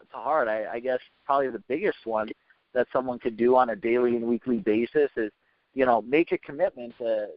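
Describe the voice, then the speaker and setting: A man's voice: mid-range voice, no room sound. Man, team leader, informal motivational business talk, hesitant phrasing, dry muffled conference call sound. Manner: halting, repetitive, hesitant phrasing.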